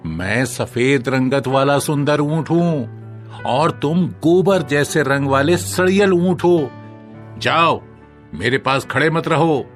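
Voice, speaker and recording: Cartoon camel, played by a man: vain, rude, scornful, unhurried delivery over light music. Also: fairly high pitch. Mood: haughty, scornful. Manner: haughty, scornful. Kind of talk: Hindi animal cartoon dialogue.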